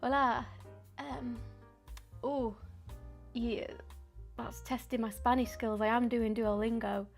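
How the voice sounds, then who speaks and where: A woman's voice: fairly high pitch, fairly even intonation, slow, gravelly, faint music behind. A woman, chatting casually and a bit ramblingly while she paints on a live stream. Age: 20s. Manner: chatty and rambling, with frequent ums and soft laughs.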